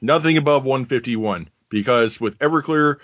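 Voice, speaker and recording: A man's voice: medium pitch, moderate pace, a bit bright, dry recording, muffled recording. A man co-hosting a podcast, recapping scenes in a dry, sarcastic and profane way. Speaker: podcast co-host. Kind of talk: casual chat, recapping a film.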